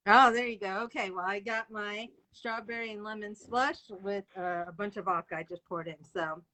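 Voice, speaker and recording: A woman's voice: mid-range voice, fairly even intonation, normal speed, bright, forceful tone. A woman hosting an author interview livestream, chatting casually and informally with viewers as she goes.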